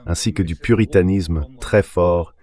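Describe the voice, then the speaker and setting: Deep-voiced man giving measured commentary. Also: warm tone. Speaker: guest commentator. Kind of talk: translated interview voice-over.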